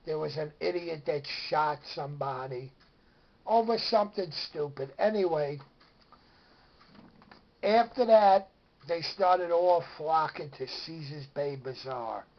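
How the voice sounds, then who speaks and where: A middle-aged man with a gravelly voice, rambling casually through old memories to camera at home. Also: fairly high pitch, slow pace, muffled recording. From Brooklyn, New York. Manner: rambling, colloquial storytelling. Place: at home with a pet dog.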